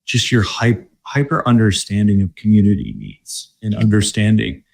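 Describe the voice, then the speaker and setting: A man from Michigan speaking as a guest in a casual interview, relaxed and thoughtful, with many fillers and restarts. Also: lowish voice, normal speed, gravelly. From Michigan, USA. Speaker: guest, corporate mobility director.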